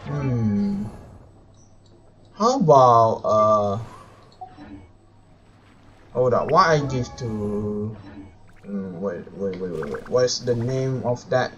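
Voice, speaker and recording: A man's voice: mid-range voice, lively intonation, drawn-out words, long pauses, fairly bright tone, lo-fi sound, slight background noise. A man doing casual live game commentary, thinking aloud and muttering to himself as he plays. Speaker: video game live streamer. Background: game music.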